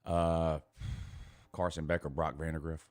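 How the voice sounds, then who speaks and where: A man with a deep voice and a Southern US accent bantering about sports. He is emphatic and cocky, often circles back over his own phrases and swears casually. The sound is very dry. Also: little pitch movement, unhurried, a little mellow, dry recording. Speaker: morning show host.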